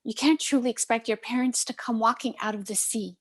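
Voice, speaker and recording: A woman's voice: on the high side, steady tone, fairly quick, lightly acted. A woman playing a caring but firm middle-aged Cuban aunt in a play table read, coaxing and mixing in Spanish endearments. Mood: exasperated.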